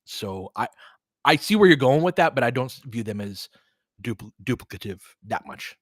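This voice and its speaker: A man's voice: mid-range voice, big pitch swings, moderate pace, a bit bright, no echo, studio quality. A man hosting a live basketball stream, giving casual, analytical commentary and chatting with viewers in between short reactions to the play.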